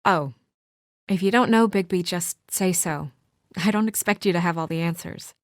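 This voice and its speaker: A woman's voice: fairly low pitch, normal speed, no echo, studio quality, lightly acted. A woman playing an earnest, businesslike young woman with office authority and a dryly exasperated edge, in naturalistic video game acting. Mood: concerned, probing.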